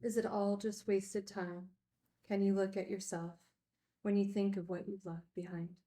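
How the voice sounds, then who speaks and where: A woman's voice: medium pitch, little pitch movement, normal speed, mellow, lightly acted. Woman plays female recovering addict, group-home teacher; candid, wry, naturalistic film drama, mid-range voice. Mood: sorrowful. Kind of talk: naturalistic screenplay table read.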